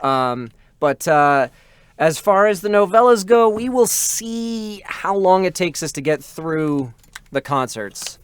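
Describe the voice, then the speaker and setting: A man's voice: sits high, animated, takes it slowly, clear, resonant tone. A man hosting a casual livestream, reading out subscriber messages and thanking viewers. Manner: appreciative, thanks viewers often. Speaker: livestream host. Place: indoors in an air-conditioned room.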